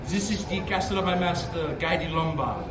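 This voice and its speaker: An actor playing a wary castle guard who calls down from the walls. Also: male voice, fairly high pitch, moderate pace, a crowd in the background, lightly acted.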